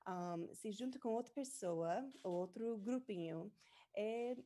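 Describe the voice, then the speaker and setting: A woman's voice: medium pitch, takes it slowly, dark tone. A woman from the United States with an American accent, a native English speaker leading a live online workshop. She switches between Portuguese and English and often hesitates while searching for words. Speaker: workshop presenter.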